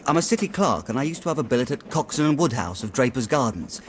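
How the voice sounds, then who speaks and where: An actor playing an earnest, worried young city clerk in a radio drama, chatty and slangy but deferential. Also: male voice, mid-range voice, sing-song, quick pace, slight background noise, lightly acted. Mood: rueful. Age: young adult.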